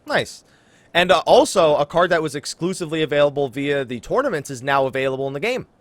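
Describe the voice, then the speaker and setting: A man with a slightly high voice hosts a casual gaming podcast. His delivery is animated and swings widely in pitch, with playful teasing toward his co-host. The sound is dry and close. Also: fast talker, no room sound.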